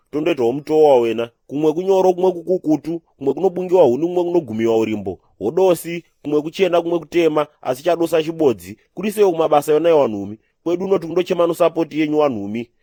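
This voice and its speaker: A very fast-talking folksy cartoon man. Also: on the high side, animated, dark tone, dry recording, studio quality. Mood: jovial. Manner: rapid rhythmic patter, appealing to viewers. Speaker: channel character asking for subscriptions.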